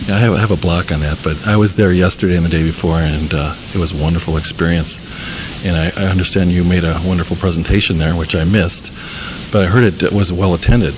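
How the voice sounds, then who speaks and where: A man's voice: low voice, steady tone, fast. A man hosting a radio talk show, easygoing and hesitant, with frequent fillers. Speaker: radio host. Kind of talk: radio interview. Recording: noisy 1990s radio recording.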